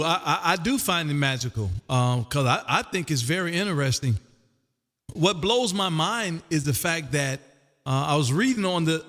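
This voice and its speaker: A man's voice: high voice, a bit bright. A man hosting an amateur radio livestream, chatting informally and with curiosity. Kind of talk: casual radio chat. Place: radio room. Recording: long reverb on the voice.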